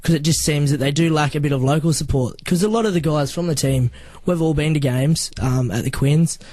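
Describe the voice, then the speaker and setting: A man's voice: on the high side, a little fast, darkish tone, dry recording. A young Australian man giving casual answers as a guest in a radio interview. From northern New South Wales, Australia. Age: young adult. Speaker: interview guest, amateur rugby league player.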